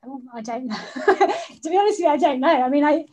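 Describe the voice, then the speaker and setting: A woman's voice: high-pitched, animated, fast talker, mellow. A woman speaking on a video call as a guest speaker, answering questions in a hesitant, casual way and laughing often. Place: home room indoors.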